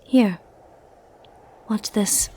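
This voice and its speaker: A woman playing a powerful, flirty and possessive sorceress in an ASMR roleplay, speaking softly and intimately with theatrical, teasing, condescending turns. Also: very slow. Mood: smug, teasing. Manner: soft, intimate, teasing, theatrical delivery with breathy murmured asides.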